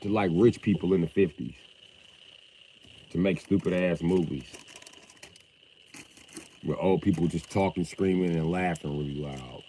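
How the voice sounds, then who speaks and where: A man chatting casually on a livestream, loud and profane, with an animated delivery. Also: sits low, a little slow, long pauses, slightly rough.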